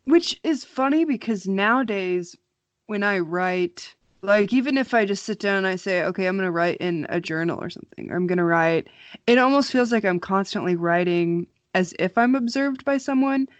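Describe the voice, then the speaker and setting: A woman's voice: mid-range voice, expressive, normal speed, no room sound. A young woman co-hosting a podcast, chatting casually and wryly with a friend. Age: young adult. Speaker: podcast co-host. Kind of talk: casual chat between friends.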